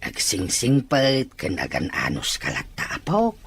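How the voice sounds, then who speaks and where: A gentle old grandfather in an audio drama, played by a man. He speaks slowly with lively rises and falls, as if advising with care. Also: mid-range voice, breathy edge, exaggerated voice. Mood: kindly advising. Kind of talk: Ilocano audio drama acting.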